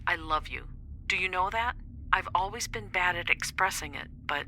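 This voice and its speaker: A woman's voice: lowish voice, moderate pace, bright, forceful tone, slight background noise. An actress playing a driven, stubborn woman scientist in an audio drama. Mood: tender, apologetic. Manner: insistent, resolute.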